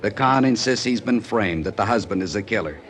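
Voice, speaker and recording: World-weary American police lieutenant, middle-aged, gruff and irritable, lower register, vintage radio crime drama. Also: male voice, lively intonation, fairly quick, a bit bright.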